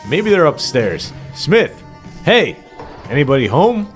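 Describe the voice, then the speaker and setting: A man playing a practical house seller who urges calm, with emphatic old-fashioned delivery in an amateur radio play. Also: fairly high pitch, takes it slowly, soft background music. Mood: calling out. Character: practical male house-selling partner, urging calm.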